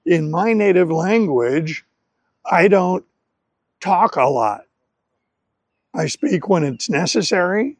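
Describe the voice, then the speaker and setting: Slow, higher-pitched man giving a lesson. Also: animated, no room sound. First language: English. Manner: deliberate, carefully phrased in short clear segments. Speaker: language-learning presenter. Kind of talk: scripted educational talk to camera.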